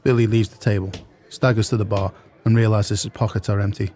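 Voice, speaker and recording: A man's voice: fairly low pitch, level tone, a little fast, mellow, natural voice. A man giving scripted narration as the narrator of an audio drama.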